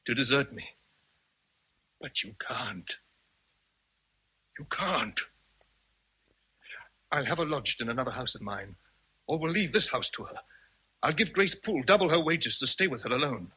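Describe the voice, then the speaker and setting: An actor playing a passionate, domineering English gentleman in a radio drama, intense, pleading and threatening. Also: medium pitch, animated, a little slow, long pauses, breathy edge, exaggerated voice, low-quality recording. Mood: desperate, threatening.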